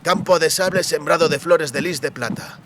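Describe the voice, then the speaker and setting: A man's voice: fairly high pitch, moderate pace, noisy background, pitched above the performer's own voice, natural voice. A man voicing an earnest, bookish young man who explains things formally and pedantically in a fantasy audiobook. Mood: eagerly lecturing.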